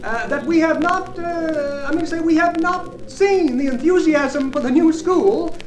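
A high, fretful meeting chair. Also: female voice, a little mellow, reverberant. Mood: regretful. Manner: halting, hesitant. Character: hesitant fretful professor chairing a town meeting. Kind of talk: 1940s radio comedy acting.

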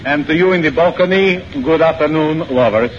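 A man acting the befuddled, self-important Russian lecturer of an old radio comedy, high-voiced and deadpan, heard through the hiss of a vintage set. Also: fairly quick, lightly acted. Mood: grandly muddled. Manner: grandly lecturing, deadpan nonsense. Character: pompous, muddled Russian émigré music professor. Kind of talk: 1940s radio comedy monologue. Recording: muffled, noisy old radio broadcast.

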